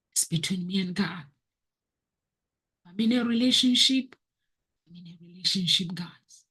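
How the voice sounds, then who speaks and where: Slow, husky-voiced woman preaching self-worth. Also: fairly low pitch, a little mellow. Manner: earnest, preachy, repeats key phrases. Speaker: motivational video host. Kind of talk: motivational talk to viewers.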